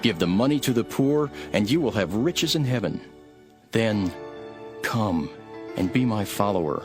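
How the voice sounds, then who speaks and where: A man playing a calm, authoritative religious teacher in a dramatized scripture, solemn and measured. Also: on the low side. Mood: firm, instructive. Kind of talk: dramatized scripture reading with storytelling. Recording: background music under the voice.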